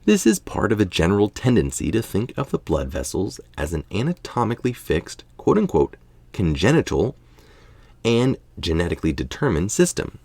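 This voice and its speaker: A man's voice: fairly low pitch, wildly swooping pitch, normal speed, darkish tone, dry recording. A tired middle-aged man reading an article aloud, informal, with casual asides. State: tired late at night. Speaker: article reader.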